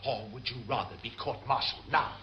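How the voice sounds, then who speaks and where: An actor playing a stern, commanding naval captain with a grand, drily menacing manner in radio sitcom style. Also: male voice, mid-range voice, fairly quick, lo-fi sound, natural voice. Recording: noisy old radio comedy recording.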